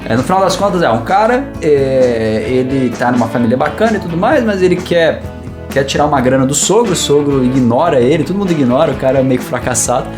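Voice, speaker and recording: A man co-hosting a casual film talk show, speaking in a relaxed, meandering way with many fillers. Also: mid-range voice, fast talker. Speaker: podcast co-host. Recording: roomy echo, faint guitar music.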